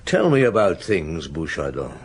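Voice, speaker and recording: An actor playing a brooding, observant middle-aged chief inspector in a radio detective drama, speaking in a terse, ruminative way. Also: male voice, very deep voice, sing-song, a little slow, husky, heavily acted. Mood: sombre, probing. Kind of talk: radio detective drama acting with inner monologue.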